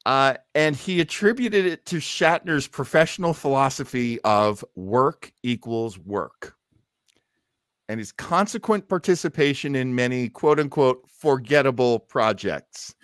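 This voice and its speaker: A man's voice: medium pitch, animated, a little slow, a bit bright, no room sound, studio quality. A middle-aged man hosting a show on a video call, reading quotes aloud and introducing clips. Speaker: podcast host. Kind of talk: casual chat on a video call. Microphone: headset and studio mic.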